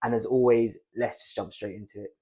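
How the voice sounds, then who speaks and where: Fast-talking man, croaky with a cold. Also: on the low side, fairly even intonation, over loud music. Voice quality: raspy. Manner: chatty, conversational, fast. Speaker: fantasy football YouTuber. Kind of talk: casual analysis to camera.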